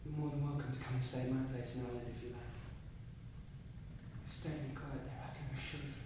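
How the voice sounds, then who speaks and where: An actor playing a kind, steady male friend in a drama, talking in a gentle, coaxing, reassuring manner. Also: high-pitched, a little fast, natural voice. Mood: gentle, inviting. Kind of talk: dramatic acting. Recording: very echoey, distant, clear background noise.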